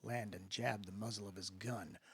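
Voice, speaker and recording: A man's voice: on the low side, steady tone, normal speed, husky, no echo, clean studio sound. A man giving a dramatic first-person narration of a story, as in an audiobook. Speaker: author-narrator reading fiction.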